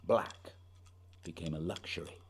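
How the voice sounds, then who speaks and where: An actor playing an earnest, haunted polar explorer in a radio play, speaking lyrically and deliberately in a husky voice. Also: male voice, deep voice, slight background noise. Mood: rapt, lyrical. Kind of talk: poetic radio drama acting.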